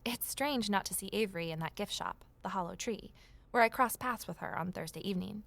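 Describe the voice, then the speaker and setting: An actress playing a determined, resourceful amateur sleuth in an audio drama, brisk and persuasive as she narrates and talks. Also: mid-range voice, creaky, a bit bright, no echo. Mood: urgent, observant. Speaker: narrator and lead character.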